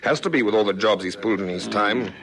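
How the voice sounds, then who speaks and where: An actor playing a methodical, quietly authoritative police lieutenant, musing in deliberate clipped phrases in a vintage radio drama. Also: male voice, fairly low pitch. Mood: quietly confident. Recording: faintly noisy vintage radio recording.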